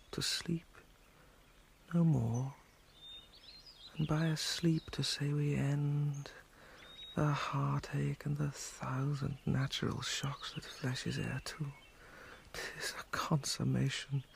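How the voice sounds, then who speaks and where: Guy playing a moody tormented young prince in a shakespeare radio play, long pauses, bitter, bit of background hiss. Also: fairly high pitch, creaky, a bit bright, exaggerated voice. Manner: theatrical, deliberate with long pauses. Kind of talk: Shakespearean radio drama acting.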